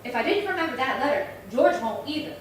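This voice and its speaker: A woman playing a scheming, excitable, dramatic housewife in a 1950s radio comedy, recorded from afar in an echoey hall. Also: high voice, fast talker. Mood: gleeful scheming. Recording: echoey hall, distant mic, faint noise.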